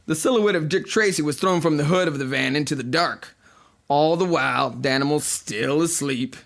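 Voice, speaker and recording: An actor playing a cocky, theatrical vampire rock star, telling boastful and flippant stories in a podcast drama. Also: male voice, a little high, normal speed, vocal fry, a bit bright. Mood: cocky, dramatic. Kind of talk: fiction podcast acting, mock interview. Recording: music playing behind the voice.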